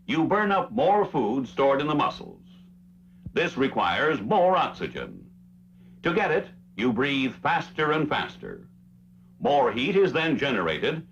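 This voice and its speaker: A man's voice: medium pitch, a bit bright. A dull, humming vintage soundtrack holds a formal man narrating an educational film in 1960s style. His intonation rises and falls widely as he explains, over faint noise. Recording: dull, noisy old film soundtrack with hum.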